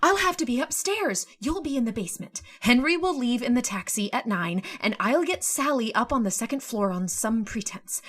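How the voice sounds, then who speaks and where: A woman's voice: on the high side, animated, normal speed, few pauses, fairly bright tone. An actress playing a scheming, controlling woman in an old-time radio thriller, urgent and commanding.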